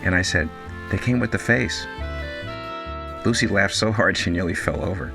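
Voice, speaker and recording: A man's voice: sits low, normal speed, gravelly, music in the background. A man giving a scripted, storytelling introduction as the producer of a show.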